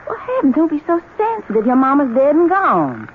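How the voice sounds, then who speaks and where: A coquettish Southern belle. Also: female voice, high-pitched, wildly swooping pitch, brisk. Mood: coaxing. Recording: narrow-band old radio broadcast with faint hiss.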